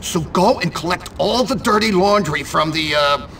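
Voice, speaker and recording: Bossy nervous middle-aged game teacher, stammers, squeaky swooping voice. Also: male voice, lively intonation, moderate pace, fairly bright tone, far from the mic, slight background noise. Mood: flustered, evasive. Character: shifty, blustering, flustered male school teacher. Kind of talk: video game cutscene acting.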